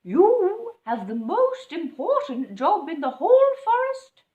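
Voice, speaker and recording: A woman voicing a wise, kind old female owl who speaks in a solemn, slow and emphatic way. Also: very high voice, sing-song, dark tone, reverberant, highs cut off, voice pushed up, heavily acted.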